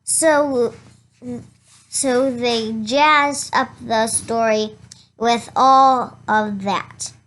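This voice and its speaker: Someone reading a children's storybook aloud in a halting way, stumbling over words and going back over them. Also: mid-range voice, fairly bright tone. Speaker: reader of a children's picture book.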